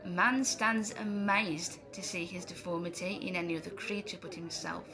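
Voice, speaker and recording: A woman's voice: on the low side, steady tone, moderate pace, lightly acted. A woman playing a sardonic, morbid tavern philosopher, declaiming in a Jacobean audio drama. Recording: busy crowd chatter behind.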